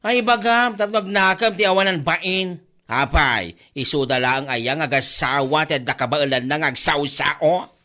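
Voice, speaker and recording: A man's voice: a little high, unhurried, pushed and bright. A man with an Ilocano accent playing a gossipy, exclamatory village neighbour in a comedy drama. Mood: indignant.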